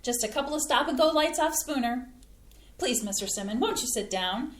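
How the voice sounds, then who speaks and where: A woman's voice: high-pitched, animated, moderate pace. A woman reading the part of a chatty hostess in a staged play reading. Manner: chatty and gushing. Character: chatty, fussy woman hosting guests. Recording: roomy stage sound.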